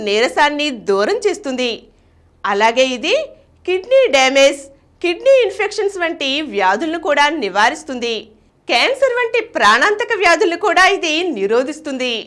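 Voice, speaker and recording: A woman's voice: high-pitched, fairly bright tone. A woman reading a scripted health narration in a conversational way, addressing listeners directly.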